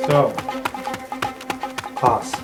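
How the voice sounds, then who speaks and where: Man game master narrating, roomy with music. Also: extremely slow. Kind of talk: improvised tabletop game narration. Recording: roomy echo under music.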